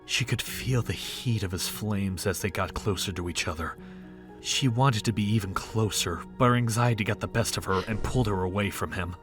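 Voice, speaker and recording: Guy narrator reading a scripted story, kinda low voice, music going under it pretty clearly. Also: moderate pace.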